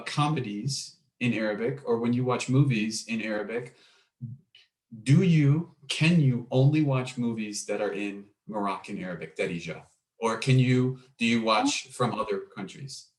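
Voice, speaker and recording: A man's voice: mid-range voice, unhurried. A man interviewing a guest, asking curious questions, apologizing and restarting his sentences as he clarifies. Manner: apologetic, curious, repeats himself while questioning. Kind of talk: conversational interview. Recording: echoey room.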